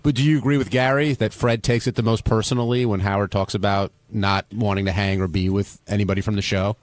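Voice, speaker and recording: A man's voice: on the low side, steady tone, quick pace, no echo. A man hosting a talk radio show, chatting casually and taking calls.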